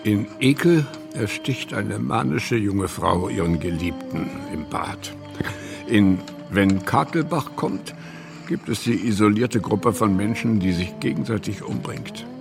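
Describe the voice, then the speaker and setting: An actor playing a stern male homicide investigator in a radio drama, with a gravelly voice. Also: lowish voice, animated, a little slow, over music. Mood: grim, stern.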